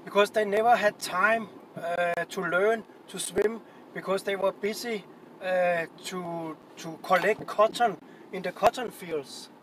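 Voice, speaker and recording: A middle-aged Danish man with a high voice talks in blunt, excitable, broken English. He is live-streaming on the street, holding a phone. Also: a little slow, slight background noise, low-quality recording. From Denmark. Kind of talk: casual live-stream talk. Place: outdoors on city streets.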